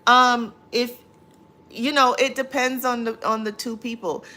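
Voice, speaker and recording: A woman's voice: on the high side, fairly even intonation, a little slow, bright, forceful tone. A woman hosting a casual livestream, reading viewer comments aloud and answering them with many fillers. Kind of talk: casual live chat.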